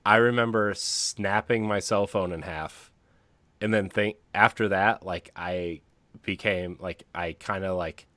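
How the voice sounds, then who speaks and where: Low-voiced man telling stories haltingly, dry sound. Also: steady tone, sharp tone, no room sound. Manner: halting, broken into short hesitant phrases. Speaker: podcast co-host. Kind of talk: casual personal storytelling.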